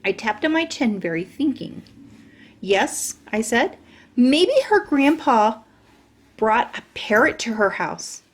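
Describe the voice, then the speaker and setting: Brash chatty kindergarten girl, slow and swooping, storybook reading. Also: a little high, expressive. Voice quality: childlike, bossy, ungrammatical storytelling. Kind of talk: audiobook narration.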